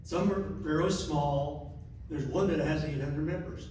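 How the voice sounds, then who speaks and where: Man, community meeting update, slightly high voice, distant mic in an echoey room. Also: unhurried, noisy background. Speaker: group member giving an update. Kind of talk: informal meeting report.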